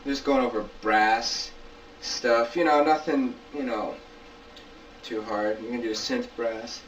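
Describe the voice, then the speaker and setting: A man's voice: mid-range voice, steady tone, slow pace, a little hiss or hum. A man hosting an informal hands-on gear tutorial, explaining step by step in colloquial language and repeating key points. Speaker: tutorial host. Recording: distant mic, dull lo-fi camcorder sound.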